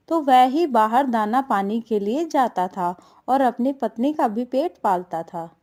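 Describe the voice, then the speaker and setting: Slow, high-voiced woman storyteller. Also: dark tone. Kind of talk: scripted moral story narration for a cartoon.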